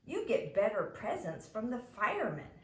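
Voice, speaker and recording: A brash, indignant girl from a storybook, voiced by a woman reader, blunt and loud. Her pitch swings widely, and the room rings around her. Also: sing-song, normal speed, natural voice. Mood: loud indignation. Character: brash, loud, bossy girl from a rough family. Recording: roomy echo, faint background noise.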